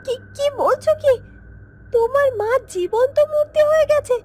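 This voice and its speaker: A woman voicing a gentle, wronged village girl in a fairytale cartoon, earnest and pleading, with halting repeated phrases. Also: very high-pitched, animated. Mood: shocked, pleading. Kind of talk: Bengali fairytale cartoon voice acting.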